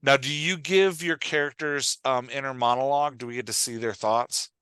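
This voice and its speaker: Guy podcast host coaching a writer, bright punchy voice, super dry sound, explains stuff and keeps checking you get it. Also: medium pitch, normal speed, clean tone, dry recording. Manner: instructive, thinks aloud, often checks for understanding. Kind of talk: conversational coaching, explaining writing craft.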